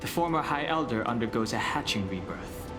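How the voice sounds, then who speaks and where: A young man voicing a calm, reserved dragon heir, speaking in a measured, formal way in a video game cutscene. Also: medium pitch, close to an everyday voice. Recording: game audio with loud music.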